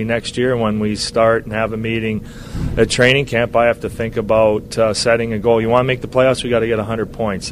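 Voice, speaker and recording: A man in his fifties, a hockey head coach, talking casually on a radio show, with lots of pauses, "uh"s and restarts. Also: lowish voice, slight background noise. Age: 50s. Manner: rambling, many uhs and restarts.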